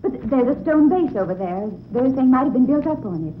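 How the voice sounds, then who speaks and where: An echoey old radio recording of a refined young woman. She is fairly high-voiced, earnest and uneasy, in theatrical horror serial style. The sound is dulled. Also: lively intonation, fairly quick. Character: anxious, inquisitive refined young woman visiting a museum. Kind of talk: 1940s radio horror acting. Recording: dull, echoey, faintly noisy vintage radio.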